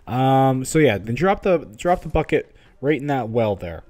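A man's voice: medium pitch, big pitch swings, moderate pace, a little mellow, no echo. A man giving a casual video game walkthrough in an informal, slightly hesitant, conversational way. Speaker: video game guide host. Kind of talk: casual gameplay walkthrough commentary.

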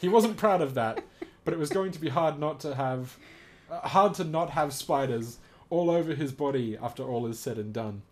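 A man's voice: a little high, moderate pace. A man reading a story aloud casually with amused, incredulous commentary, often laughing and swearing as he goes. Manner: giggly, laughs through his reading.

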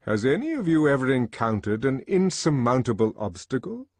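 A man's voice: medium pitch, big pitch swings, normal speed, no room sound, voice pushed up. A man voicing a lofty, commanding male world ruler with an oratorical manner in an audiobook. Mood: probing. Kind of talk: audiobook character acting.

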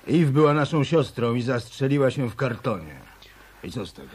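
An actor playing a gruff, guarded former boxer in a radio drama, speaking bluntly. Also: male voice, medium pitch, big pitch swings, a little fast. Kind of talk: Polish radio drama dialogue.

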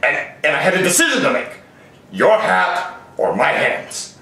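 A man voicing a crude, childish, evasive ogre with halting, sheepish delivery in a comic puppet show. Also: a little high, huge pitch swings, vocal fry, bright, forceful tone, heavily acted. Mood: sheepish, stalling. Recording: very echoey room.

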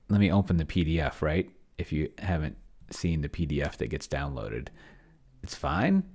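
A middle-aged man hosting a casual screen-share walkthrough, rambling and muttering asides to himself as he thinks aloud. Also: sits low. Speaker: host of a solo roleplaying demo stream. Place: indoors at a desk, on webcam.

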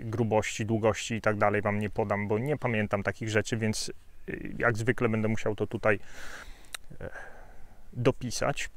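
Relaxed man reviewing a knife outdoors. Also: medium pitch, dry recording. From Poland. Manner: relaxed, chatty, with asides and fillers. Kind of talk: casual outdoor gear review. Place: outdoors on a green hillside. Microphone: lapel mic.

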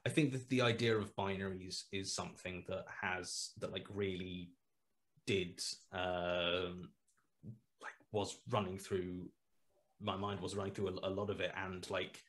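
A man's voice: deep voice, level tone, unhurried, fairly bright tone. A man in his thirties, the creator of a podcast, chatting on a video call through a headset, rambling and restarting his sentences. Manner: rambling, restarts often, trails off into asides. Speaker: podcast creator and writer as guest. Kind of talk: casual video-call interview. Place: small room at home, video call.

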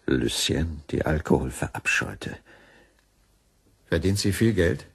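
A man's voice: very deep voice, lively intonation, takes it slowly, creaky, husky, heavily acted. An actor playing a troubled, hesitant married Frenchman in a German crime radio drama. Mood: brooding. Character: troubled married Frenchman, probing, flustered when caught.

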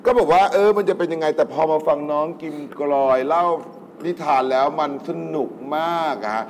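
A man's voice: a little high, moderate pace, slight background noise. A middle-aged man giving spoken feedback to a contestant as a talent show judge. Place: TV studio stage set.

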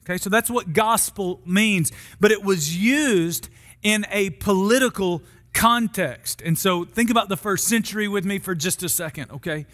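High-pitched American pastor in a reverberant hall. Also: male voice, moderate pace, a bit bright. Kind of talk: sermon. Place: large church auditorium stage. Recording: long hall reverb from stage sound system.

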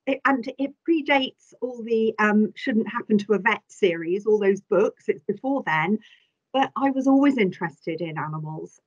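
A woman's voice: medium pitch, husky. Older woman vet on a dull video call. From England. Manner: talkative, warm storytelling with hesitations. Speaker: chief veterinary officer, guest. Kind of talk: conversational interview answers.

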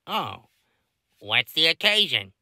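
A man's voice: a little high, big pitch swings, slow pace, bright, forceful tone, dry recording, studio quality, full character voice. A man voicing comic cartoon townsmen in a fan-made voice performance. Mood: gruff. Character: cartoon townsmen: chirpy neighbor, gruff bartender, police dad.

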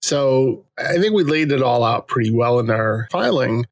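Male co-host, mid pitch, non-native English speaker, measured and plain, dry sound. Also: expressive, no echo. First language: not English. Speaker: podcast co-host, immigration attorney. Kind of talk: casual podcast chat.

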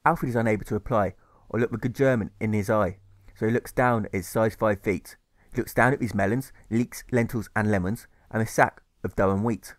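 A man narrating a rhyming audiobook in a measured, rhythmic manner that stresses the rhymes. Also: lowish voice, steady tone, no echo, studio quality. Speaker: author reading his audiobook.

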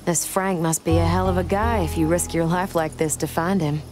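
Actress as a low-voiced, defiant runaway bride. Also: female voice, steady tone, lightly acted. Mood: composed, polite. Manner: brisk, sharp-tongued, self-assured. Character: tough, brisk, defiant young runaway bride in the West. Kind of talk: video game cutscene acting. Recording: music under the dialogue.

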